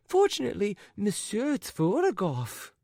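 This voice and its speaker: A woman's voice: medium pitch, wildly swooping pitch, measured pace, gravelly, warm tone, dry recording, clean studio sound, exaggerated voice. Twittering society lady, voiced by a woman. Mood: fluttery. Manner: breathless, fluttery chatter. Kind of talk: audiobook character voice.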